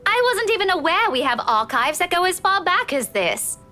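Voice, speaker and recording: A woman playing a sharp-tongued, frustrated young woman in a visual novel, with an exasperated, pressing manner. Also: very high voice, big pitch swings, moderate pace, faint music behind, full character voice.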